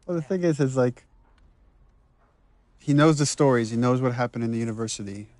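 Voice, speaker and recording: A man's voice: mid-range voice, fairly quick, a little mellow, no echo. A man speaking as a game writer and director, giving casual, conversational, analytical behind-the-scenes commentary.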